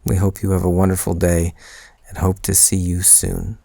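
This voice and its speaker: Man acting shy, low-voiced sentimental young guy. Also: completely flat delivery, normal speed, vocal fry, no room sound, studio quality. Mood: tender. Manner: hesitant, soft-spoken teasing, stammering. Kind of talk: intimate boyfriend audio roleplay.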